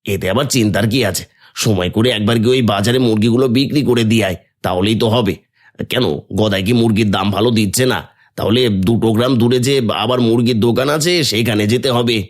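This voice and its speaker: A man's voice: fairly low pitch, fast, natural voice. A man voicing a poor, humble village laborer in a cartoon, worried about feeding his family. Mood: reassuring. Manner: worried, earnest.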